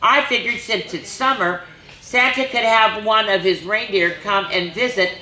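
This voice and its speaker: Lady doing kids story time, bright voice, sing-songy reading, echoey room. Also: mid-range voice, normal speed. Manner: sing-song rhyming read-aloud with chatty asides. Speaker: children's storyteller. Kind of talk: reading a picture book aloud to children.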